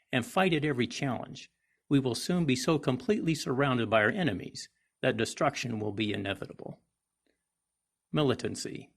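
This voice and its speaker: Gravelly-voiced man reading a rousing article. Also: mid-range voice. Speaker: reader of a historical article. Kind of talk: oratorical read-aloud of a persuasive article.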